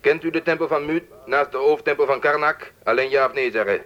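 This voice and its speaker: An actor playing a stern, secretive male informant over the telephone in a radio drama, curt, controlling and deliberate. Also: fairly high pitch, fairly bright tone. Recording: dull, band-limited telephone-like sound.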